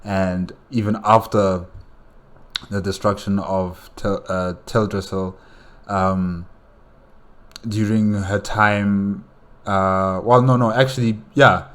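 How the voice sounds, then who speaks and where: A man's voice: fairly low pitch, steady tone, slow pace, fairly bright tone. A man giving casual, off-the-cuff reaction commentary in a hesitant, musing way, often repeating his phrases. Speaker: reaction video host.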